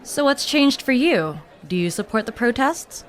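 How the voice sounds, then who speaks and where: A woman's voice: on the high side, very animated, normal speed, a faint crowd. A woman playing an attentive field reporter, asking polite, probing interview questions.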